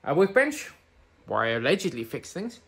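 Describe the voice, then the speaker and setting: A woman's voice: low-pitched, very animated, normal speed, husky. A middle-aged woman giving a jokey, self-deprecating tour of her computer room, filmed on a phone. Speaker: viewer video contributor. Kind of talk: casual home tour video. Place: room full of old computers, indoors. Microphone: phone held at arm's length.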